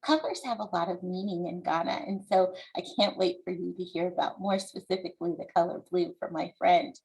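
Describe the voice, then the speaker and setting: A woman from the United States, an author reading her book aloud and explaining it to young listeners in a deliberate, encouraging, instructive way. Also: fairly low pitch, fairly even intonation, vocal fry, a little mellow, poor audio.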